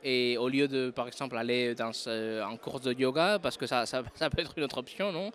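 A man's voice: medium pitch, moderate pace, fairly bright tone. A man from Spain with a Spanish accent, a non-native speaker giving a casual interview, hesitant and full of fillers while he searches for words. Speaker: interview guest, recruiter.

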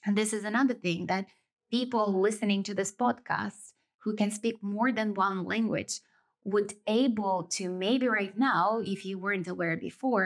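A native Russian-speaking woman with a mid-range pitch talks alone as a podcast host. She explains her ideas in a thoughtful, reflective way, stretching some phrases and rewording others as she goes. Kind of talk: reflective solo podcast monologue.

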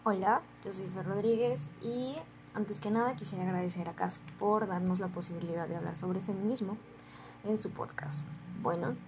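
A woman's voice: medium pitch, fairly even intonation, normal speed. A young woman from Guadalajara with a Mexican accent, a university student speaking politely as a podcast guest. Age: young adult. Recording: noisy background.

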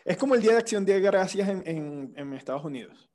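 A young man with a Venezuelan accent talks in an easy, rambling way, full of slang and restarts. His voice sits a bit high for a man, with a warm, mellow tone. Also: fast talker. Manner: relaxed storytelling with slang and restarts. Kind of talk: casual chat.

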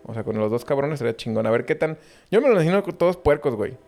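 A young man with a Mexican accent, co-hosting a podcast in relaxed, slangy, profane banter. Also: mid-range voice, very fast, gravelly. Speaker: podcast co-host. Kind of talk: casual chat.

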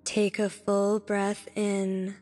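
Slow, even-toned woman guiding meditation. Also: medium pitch. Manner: soothing, deliberate, instructing in short phrases. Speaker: meditation guide. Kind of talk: guided meditation narration. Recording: long reverb with background music. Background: music.

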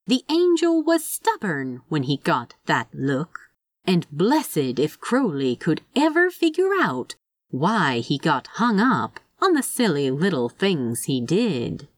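Woman reading fan fiction, lowish voice, wry chatty storytelling, really dry close recording. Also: wildly swooping pitch, slow, no echo. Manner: wry, conversational. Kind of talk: expressive scripted story narration.

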